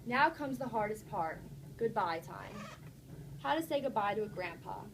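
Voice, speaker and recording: A woman's voice: mid-range voice, lively intonation, normal speed, creaky. A woman teaching young children, reading a story aloud and encouraging them with frequent check-in questions. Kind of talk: interactive classroom read-aloud and discussion. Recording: noisy classroom recording.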